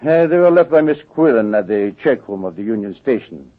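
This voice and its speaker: Slick french crook from an old radio show, oily polite, voice swoops around, tinny sound. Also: male voice, lowish voice, animated, fairly quick. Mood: smooth, smug. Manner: oily, mock-polite, hesitant formal phrasing. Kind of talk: 1940s radio drama acting.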